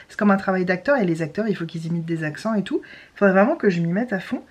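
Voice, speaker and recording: A young woman vlogging in casual chat to camera, chatty and rambling, with many fillers and restarts. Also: lowish voice. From France.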